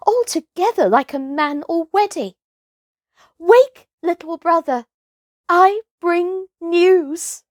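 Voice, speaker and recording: Woman voicing an earnest, high-pitched loyal young wolf. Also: darkish tone, no room sound, studio quality. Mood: urgent news-bearing. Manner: archaic, earnest phrasing. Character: eldest wolf cub brother, gruff-tender, anxious, loyal.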